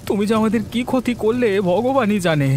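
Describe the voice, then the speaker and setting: A man's voice: high-pitched, animated, a little fast, darkish tone, slight background noise. A man playing a poor, put-upon village husband in a cartoon folk tale, plaintive and pleading. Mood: complaining. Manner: pleading, complaining.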